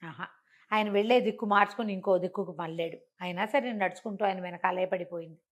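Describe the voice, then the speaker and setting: A woman telling a moral folk tale in a conversational, animated way, acting out dialogue and asking rhetorical questions. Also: medium pitch, fast. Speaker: storyteller.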